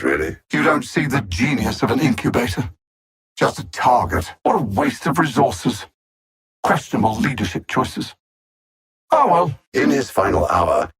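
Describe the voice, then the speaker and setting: An actor with a British accent playing a haughty, mocking alien machine intellect in a video game, speaking with pompous, deliberate diction. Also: male voice, mid-range voice, gravelly, fairly bright tone, husky, exaggerated voice. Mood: disdainful. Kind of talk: theatrical video game villain voice lines.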